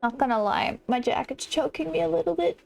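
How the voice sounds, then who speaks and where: A woman's voice: sits high, fairly quick, gravelly, music in the background. A woman hosting a gaming video with chatty, giggly, self-mocking commentary. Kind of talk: casual let's play commentary, reading game dialogue aloud.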